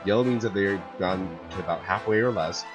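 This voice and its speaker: A man with a hoarse voice from a cold, casually commenting on a video game and rambling with lots of fillers. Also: low voice, a little fast, lo-fi sound. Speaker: gameplay streamer. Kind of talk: casual let's-play commentary. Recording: gameplay with loud game music.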